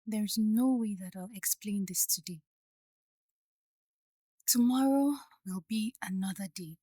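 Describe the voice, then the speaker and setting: A woman playing a troubled young bride-to-be in an audio drama, speaking softly in a hushed, wistful way. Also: medium pitch, unhurried, dry recording, studio quality, natural voice. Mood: weary, shaken. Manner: soft, breathy, hushed delivery.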